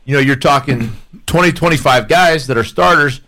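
An American man with an average-pitched voice talks on a sports panel. He sounds conversational and informal, a former player sharing his view with the group. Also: normal speed, pushed and bright. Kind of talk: casual sports talk.

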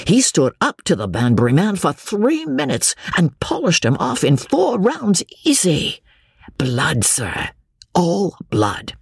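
A narrator performs a jovial young English gentleman deep in his cups in a storybook reading. He brags and rambles in slang, amused by himself, with wildly swinging pitch and a very husky tone. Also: wildly swooping pitch, takes it slowly, no room sound, studio quality, full character voice. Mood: tipsy, excited.

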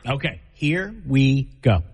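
A man's voice: medium pitch, expressive, takes it slowly, a little hiss or hum. A man hosting a morning radio show, joking with his co-host and running a call-in game. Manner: jokey, laughs often, reads ads.

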